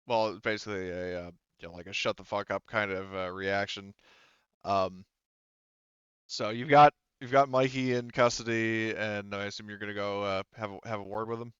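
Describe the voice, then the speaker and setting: A man working as game master, narrating a tabletop game in a casual, improvised, halting way. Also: lowish voice, steady tone, a bit bright, clear, resonant tone, no room sound, muffled recording. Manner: halting, conversational.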